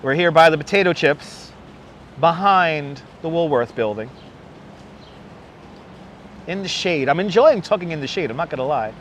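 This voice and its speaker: A man's voice: on the high side, animated, normal speed, long pauses, fairly bright tone. A man from Brooklyn with a faint New York accent, hosting a casual livestream outdoors on a city street and rambling as he thanks viewers by name on a clip-on mic. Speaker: livestream host. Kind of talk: casual live-stream chat while out in the city. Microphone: wireless clip-on mic. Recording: street noise in the background.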